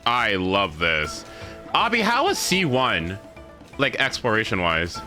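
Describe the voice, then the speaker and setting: A man chatting casually as a game livestreamer, thinking aloud in a halting way and repeating words. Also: fairly low pitch, huge pitch swings, pushed and bright, soft background music. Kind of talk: casual livestream chat over gameplay.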